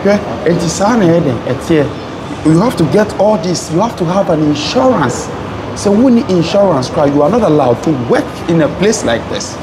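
A young Ghanaian man speaks passionately, mixing Twi and English as he lectures. His voice sits a little high for a man. He is recorded on a phone on a busy outdoor terrace, with loud noise all around. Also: animated, moderate pace. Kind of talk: live street chat, lecturing. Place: outdoor urban café terrace. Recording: noisy outdoor street sound.

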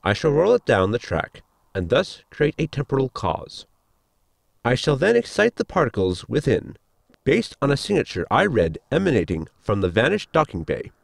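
A polite, scientifically minded crewman from a sci-fi comedy audio drama, played by a man. His formal, explanatory delivery swoops widely in pitch, with a creaky edge, in a dry close recording. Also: huge pitch swings, normal speed, dry recording, exaggerated voice. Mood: dry, matter-of-fact.

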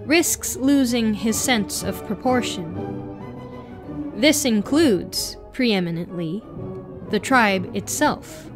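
Female reader, fairly high voice, very slow deliberate article narration over clear background music. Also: expressive. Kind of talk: reading an article aloud.

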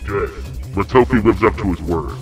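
A man voicing a steady, serious team leader in a narrated story, grave and purposeful. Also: on the low side, moderate pace, recorded from a distance, faint music behind, voice pushed down, lightly acted. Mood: grave resolve. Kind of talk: acted character in narrated fan story.

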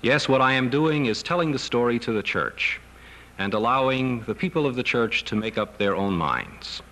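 A middle-aged American man giving a formal, measured press statement. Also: mid-range voice, animated, a bit bright. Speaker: clergyman, seminary head speaking to press. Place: wood-paneled press conference room. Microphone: table microphones. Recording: noisy 1970s newsreel film sound.

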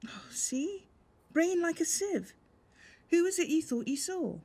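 An actress playing a sarcastic, nagging, sceptical wife in a naturalistic radio soap. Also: female voice, very high-pitched, very animated, unhurried, a little mellow, full character voice. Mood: sarcastic, exasperated.

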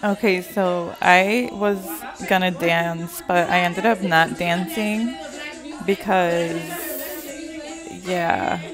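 Giggly young woman, casual vlog chat, slow pace, loud music over it. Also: on the low side, fairly even intonation. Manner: laid-back, giggly, mixes in Spanish words. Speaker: vlogger.

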